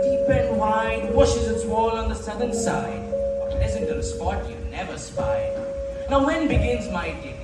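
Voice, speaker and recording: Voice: mid-range voice, natural voice. A young actor plays a stern yet indulgent guardian spinning a bedtime tale in theatrical verse. The delivery swings widely and formally, distant in a very echoey hall. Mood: dramatic storytelling. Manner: wordy, formal, dramatic.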